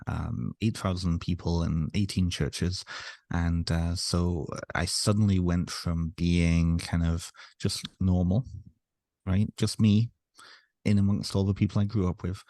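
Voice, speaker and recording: Low gravelly guy on a podcast, thinking out loud with lots of ums, dry close sound. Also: dry recording. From North Wales, raised in Ontario, Canada. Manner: reflective, many fillers and restarts. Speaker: podcast guest, author. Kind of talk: casual interview.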